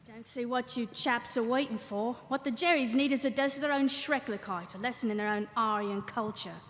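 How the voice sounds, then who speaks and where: An actress plays an impatient British woman officer with plenty of cheek in a wartime audio drama. Her voice sits high and rings in a big hall. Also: brisk, fairly bright tone, slight background noise, close to an everyday voice. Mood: cheeky, impatient. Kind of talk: live audio drama acting. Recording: echoey hall, live stage recording.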